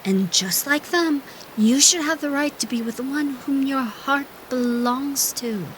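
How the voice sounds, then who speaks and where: A woman's voice: high voice, unhurried, slight background noise, poor audio. A woman playing a fiery, fiercely kind young chief, speaking boldly and emphatically in an audio drama. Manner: bold, emphatic, encouraging.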